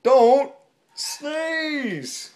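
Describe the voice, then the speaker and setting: A woman's voice: high voice, lively intonation, extremely slow, a little mellow, heavily acted. A woman voicing a worried hippopotamus in a storybook, pleading in rhyme.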